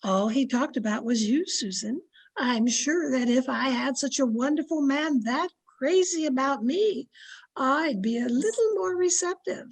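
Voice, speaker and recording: Woman acting a scheming elderly aunt, raised husky voice, sweetly confiding, coy, old-time radio comedy. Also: high voice, expressive, a little slow, full character voice. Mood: innocent, sly. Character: sly, flattering old aunt playing matchmaker. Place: home, online video-call production.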